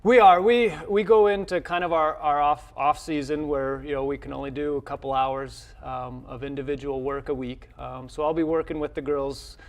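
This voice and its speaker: Male college coach, slightly high voice, casual interview, halting and repetitive delivery. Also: fairly even intonation. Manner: halting, repeats words and restarts often.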